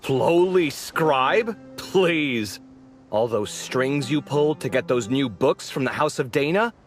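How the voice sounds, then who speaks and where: A proud young architect, theatrical and indignant, his slow delivery swinging widely up and down. He sounds exasperated at his companion. Soft game music sits under him. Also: medium pitch, animated. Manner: dramatic, exasperated. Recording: game audio with faint music.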